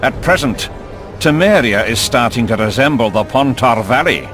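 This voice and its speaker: A man playing a commanding statesman in a video game, declaiming forcefully. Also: mid-range voice, animated, moderate pace, music in the background, lightly acted. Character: commanding male envoy of allied northern kingdoms. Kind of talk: video game dramatic oratory.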